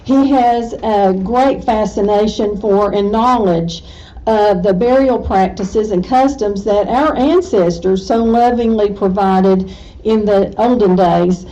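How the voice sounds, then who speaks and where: A woman's voice: medium pitch, slow pace, mellow. A woman hosting an event gives an effusive, conversational introduction of a speaker to an audience. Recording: dull, muffled audio.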